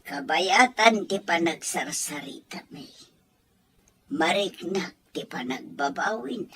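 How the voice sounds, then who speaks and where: A woman's voice: on the low side, animated, slow pace, a bit bright, a little hiss or hum. A woman acting an older mother of grown children in an audio drama, earnest and reproachful, with a Filipino accent. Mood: worried, hushed. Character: older Ilocano mother scolding and advising her grown children.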